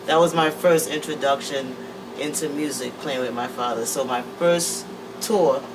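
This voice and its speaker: A woman's voice: rumbling low, steady tone, moderate pace. Woman in her sixties, mic set back. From Trinidad and Tobago. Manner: easygoing, hesitant, many fillers. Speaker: interview guest, musician. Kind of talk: casual interview talk. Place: music production room. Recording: distant mic with air-conditioning hum.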